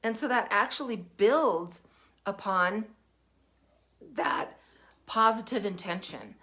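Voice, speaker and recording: An older woman hosting a casual livestream, chatty and meandering, her throat still rough from a lingering cough. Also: mid-range voice, slow. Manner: chatty, warm-hearted, meandering with fillers. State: recovering cough, cough drop in mouth. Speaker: podcast host. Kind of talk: casual livestream talk to viewers.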